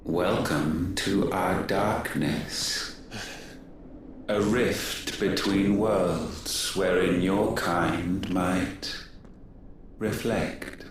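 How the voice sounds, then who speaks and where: A man voicing an ancient, haughty godlike being in a video game, speaking in solemn, archaic, measured monologue. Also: low-pitched, a little hiss or hum. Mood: menacing, solemn. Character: ancient godlike male being, grave, haughty, condemning mankind. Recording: echoing voice over loud music.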